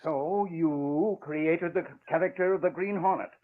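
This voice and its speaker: An actor playing a gruff, impatient elderly father, blustery and demanding, in old-time radio drama style. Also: a little high, a little slow, close to an everyday voice. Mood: stern, questioning. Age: older. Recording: muffled, band-limited vintage radio recording.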